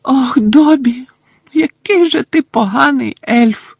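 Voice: high voice, slow, creaky, slightly rough, no room sound, voice pushed up, heavily acted. A reader voicing a tearful, devoted female house-elf who sobs and talks in broken grammar about herself in the third person. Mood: wailing. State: sobbing.

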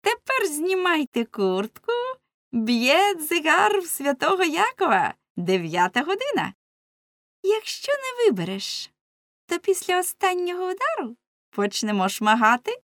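Woman acting shrill, taunting young tavern wench. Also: big pitch swings, unhurried, a bit bright, no echo, studio quality, full character voice. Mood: threatening, gleeful. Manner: shrill, exclaiming, teasing. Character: bawdy, taunting young tavern women, loud and bold.

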